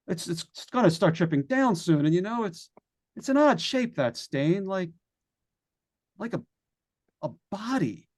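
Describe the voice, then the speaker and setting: A man's voice: high voice, darkish tone, exaggerated voice. A man playing a nervous, lonely radio broadcaster unravelling in a haunted house, stammering and rambling in 1940s radio suspense style. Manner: stammering, halting, rambling with repeated words. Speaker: actor in old-time radio play. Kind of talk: 1940s radio suspense acting, live monologue.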